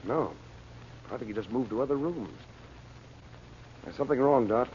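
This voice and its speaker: An actor playing a worried but resolute hero in an old radio serial, speaking earnestly and firmly. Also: male voice, mid-range voice, normal speed, a little mellow, close to an everyday voice. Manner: earnest, firm. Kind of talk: 1940s radio serial acting. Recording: muffled, noisy old radio recording.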